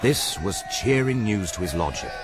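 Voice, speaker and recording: An actor playing an earnest army quartermaster, giving a formal, precise eyewitness report of battle in a radio drama. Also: male voice, lowish voice, lively intonation, moderate pace, music in the background, natural voice. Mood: tense, relieved. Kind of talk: dramatic radio monologue, memoir testimony. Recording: noisy radio drama recording.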